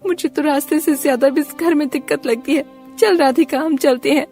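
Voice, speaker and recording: A woman voicing an angry, fed-up wife and mother in a moral-story cartoon, scolding in a sharp, commanding way. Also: high-pitched, moderate pace, faint music behind, pitched above the performer's own voice. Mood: bitter.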